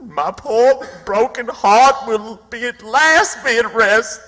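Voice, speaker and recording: A man's voice: very high-pitched, slow, pushed and bright, in a large hall, heavily acted. A man acting in period radio theatre as a self-pitying con man posing as a wronged duke, melodramatic and theatrically mournful.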